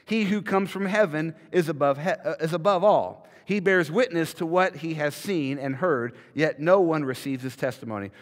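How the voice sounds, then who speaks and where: A man's voice: fairly high pitch, moderate pace, few pauses. A man in his thirties preaching a sermon from a church pulpit, emphatic and repeating words as he builds each point. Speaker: pastor. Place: large church sanctuary, indoors. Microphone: podium mic, filmed from a far camera. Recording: long hall reverb.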